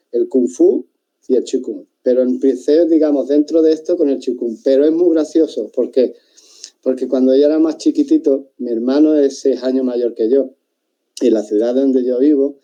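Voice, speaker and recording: Dark-voiced Andalusian man, rambling. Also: medium pitch, muffled recording. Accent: Andalusian Spanish. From Spain. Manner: rambling, restarts often, thoughtful. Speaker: interview guest, martial arts teacher. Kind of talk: casual interview chat.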